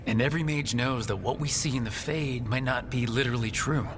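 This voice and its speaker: A man's voice: mid-range voice, brisk, lightly acted. A man playing an earnest, resolute team leader in a video game, talking in a plain, conversational way. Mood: curious, wry. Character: earnest, resolute male hero leading a team. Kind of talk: video game dialogue acting. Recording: echoey hall, clear background noise.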